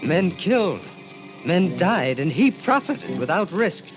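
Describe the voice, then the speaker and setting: A man's voice: sits high, sing-song, takes it slowly, full character voice. An actor playing an anguished, guilt-ridden young man, narrating and speaking with dramatic intensity in the style of a 1940s radio mystery. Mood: haunted, brooding. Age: young adult. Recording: old radio recording with music behind.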